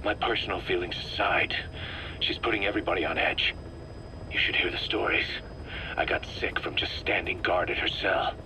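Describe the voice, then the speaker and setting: An actor playing a suspicious, hard-bitten military commander in a video game, terse, grumbling and confrontational. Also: male voice, low voice, steady tone, moderate pace, noisy background. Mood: resentful, uneasy. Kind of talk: dramatic game voice acting.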